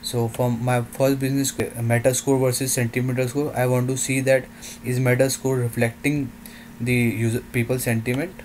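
A man narrating a software demo in halting, non-native English phrasing. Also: mid-range voice, little pitch movement, slight background noise.

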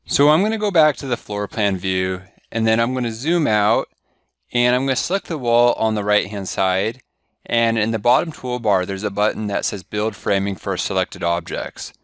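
Man, low voice, software tutorial narration, step-by-step, dry close sound. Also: normal speed, clear, resonant tone, no echo. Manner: steady step-by-step instruction. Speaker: software trainer. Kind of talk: screen-recorded software tutorial.

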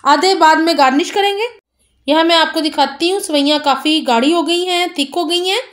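A woman's voice: very high voice, fairly quick. A woman with an Urdu accent, hosting a cooking channel and politely narrating a recipe step by step in a voice-over. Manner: gentle, polite, step-by-step instructions with blessings.

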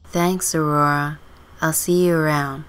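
A woman's voice: sits low, fairly even intonation, a little slow, smooth. A woman playing a stubborn, sardonic teenage runaway girl with a dry manner in a scripted game story. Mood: dry, offhand.

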